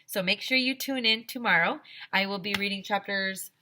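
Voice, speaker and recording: A woman teacher reading a children's story aloud in an expressive, dramatic, emphatic storytelling manner. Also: mid-range voice, normal speed, slight background noise.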